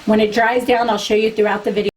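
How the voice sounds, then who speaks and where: A woman's voice: on the high side, flat delivery, fast, low-quality recording. A woman reviewing a makeup product to camera for her vlog in a chatty, candid way, losing her voice. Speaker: beauty vlogger.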